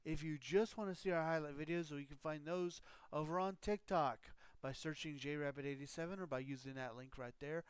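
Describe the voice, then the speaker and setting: Man streaming, scratchy-throated, smooth and dry. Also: a little high, fairly even intonation, fairly quick, hardly any pauses, no echo. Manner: rambling, loose explanations with frequent fillers. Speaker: game streamer. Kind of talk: casual live-stream chat.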